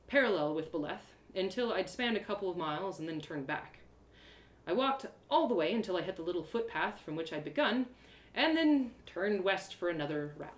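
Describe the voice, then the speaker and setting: Animated woman storyteller in an echoey room. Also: mid-range voice. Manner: theatrical, animated, dramatic pauses and emphasis. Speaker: storyteller performing own one-woman audio drama. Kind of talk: expressive first-person story narration, live reading.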